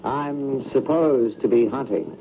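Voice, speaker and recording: An actor playing an erudite, bumbling professor who is gentle and formal in an old-time radio drama. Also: male voice, mid-range voice, lightly acted. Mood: measured, wry. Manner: formal, deliberate, bookish. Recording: noisy, tinny old radio recording.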